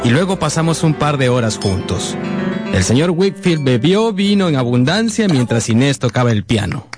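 A man's voice: mid-range voice, big pitch swings, moderate pace, natural voice. An actor playing an earnest, sentimental grown man narrating his boyhood in a radio drama. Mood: reflective. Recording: music behind the voice.

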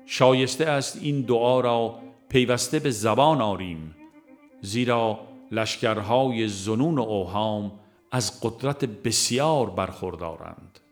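Low-voiced man narrating a devotional audiobook. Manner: measured, formal, devotional reading. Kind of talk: scripted audiobook narration of a religious text. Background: music.

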